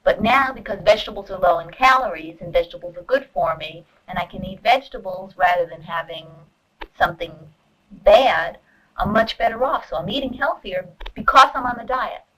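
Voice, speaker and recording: Woman, mid-range pitch, casual reflective interview, 1970s documentary soundtrack. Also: brisk, a bit bright, low-quality recording. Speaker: dieter giving a testimonial.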